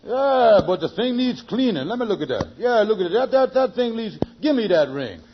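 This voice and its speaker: A man's voice: high voice, sing-song, moderate pace, slight background noise. A man playing a scheming, blustering middle-aged con man in a 1940s radio sitcom, stammering and repeating himself. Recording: muffled vintage radio recording.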